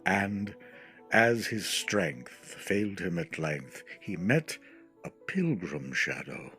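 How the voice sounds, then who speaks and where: Very slow, dramatic man reciting poetry. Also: sits low, sing-song, a bit bright. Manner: declamatory, deliberate, with drawn-out phrases. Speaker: poetry reader. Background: music.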